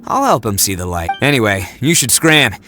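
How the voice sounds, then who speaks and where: Cocky goofy young hero from a video game, super animated, music behind him. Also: male voice, fairly low pitch, a little fast. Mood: earnest, confident. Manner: boastful, theatrical, swaggering. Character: brash, big-hearted young male hero, boastful and goofy.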